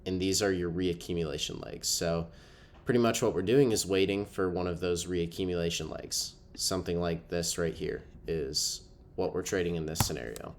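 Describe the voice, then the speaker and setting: A man's voice: sits low, steady tone, normal speed, slight background noise. A young man with a stuffy, cold-affected voice, casually explaining a trading setup over a screen recording with lots of ums. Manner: casual, filler-heavy explaining with frequent ums. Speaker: trading educator. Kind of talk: screen-share trading walkthrough.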